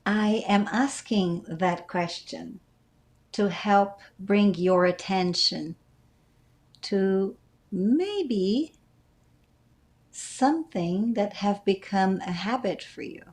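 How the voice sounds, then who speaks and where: A woman leading a guided meditation as its teacher, speaking gently and deliberately with frequent pauses. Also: mid-range voice, expressive. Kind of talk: guided meditation, calm instruction.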